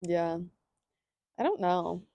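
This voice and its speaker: A woman's voice: on the low side, expressive, slow pace, creaky, dark tone, no echo, muffled recording. A young woman co-hosting a podcast, chatting casually with jokey asides and frequent giggles. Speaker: podcast co-host. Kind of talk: casual chat.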